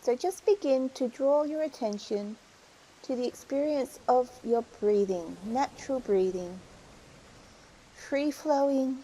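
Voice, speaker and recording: Slow, mellow woman guiding meditation. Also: high voice, slightly rough, poor audio. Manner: soothing, unhurried instruction with pauses. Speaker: meditation guide. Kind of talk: guided meditation.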